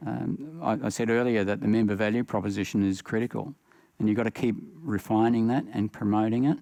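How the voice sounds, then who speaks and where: Halting older Australian man, gravelly. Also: lowish voice, fairly even intonation, warm tone, dry recording. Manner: halting, many uh fillers. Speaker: panelist. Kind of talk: panel discussion.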